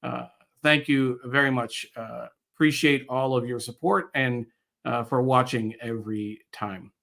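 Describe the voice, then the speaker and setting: A middle-aged American man guest-hosting a live online show, speaking in a halting way with pauses mid-phrase. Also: medium pitch, fairly even intonation, vocal fry, a bit bright. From United States, New York area. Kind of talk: live show introduction, reading viewer comments.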